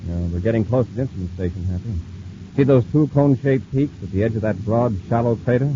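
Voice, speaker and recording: An actor playing a steady, authoritative male space patrol commander in an old-time radio serial. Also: fairly low pitch, fast talker, natural voice. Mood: calm authority. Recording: noisy muffled vintage radio recording.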